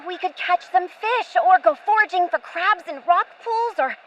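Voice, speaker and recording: A woman's voice: very high-pitched, expressive, normal speed, lo-fi sound, slight background noise. A woman playing a bold, trusting young girl adventurer in an audio drama, eager and headstrong.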